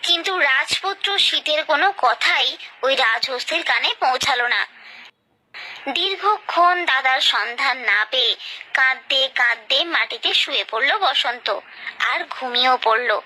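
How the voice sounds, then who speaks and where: A woman's voice: high voice, pushed and bright, poor audio. A woman narrating a scripted children's story, expressively and directly addressing her young listeners.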